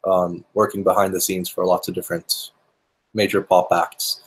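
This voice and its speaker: Man, fairly low voice, Finnish and English from childhood, musician guest, thoughtful, many fillers and restarts. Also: level tone, normal speed, breathy edge, low-quality recording. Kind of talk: conversational interview answers.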